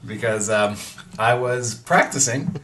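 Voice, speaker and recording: A man with a raspy voice, hosting a casual podcast with mock-grand announcements. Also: mid-range voice, lively intonation, takes it slowly, fairly bright tone, slight background noise. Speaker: podcast host.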